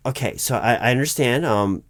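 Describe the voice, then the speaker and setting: A man playing a patient but baffled corporate recruiting manager in a one-sided interview roleplay, speaking in a halting, stammering, diplomatic way. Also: medium pitch, fairly bright tone, no room sound, natural voice. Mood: politely unimpressed.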